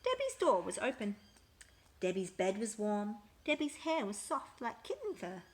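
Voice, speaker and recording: A woman reading a children's picture book aloud with expressive, emphatic storytelling. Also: mid-range voice, normal speed.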